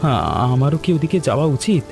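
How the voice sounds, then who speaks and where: A man voicing an anxious, earnest village family man in an animated story. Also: fairly high pitch, fast, over music, natural voice. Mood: worried, grateful. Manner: worried, earnest. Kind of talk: Bengali animated story dialogue.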